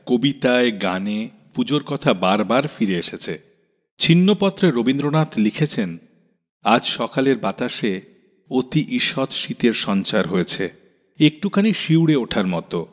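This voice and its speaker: A man hosting a radio show, reading a scripted narration with literary passages in a measured, expressive manner. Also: mid-range voice. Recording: long reverb, faint music behind. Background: soft music.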